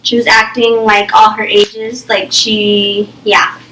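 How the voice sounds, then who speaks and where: A woman's voice: medium pitch, level tone, slow pace, bright, forceful tone, a little hiss or hum. A young woman teaching a small reading group, thinking aloud and checking in with "okay?" and "right?" all the time. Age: young adult. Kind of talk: classroom think-aloud lesson. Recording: echoey room, far from the mic.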